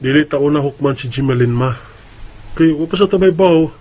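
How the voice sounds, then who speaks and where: A man's voice: on the high side, fairly quick, darkish tone, no room sound, lo-fi sound, natural voice. An actor playing a worried, resigned husband and father in an earnest radio drama. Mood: troubled. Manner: earnest, hesitant. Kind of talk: radio drama acting with first-person narration.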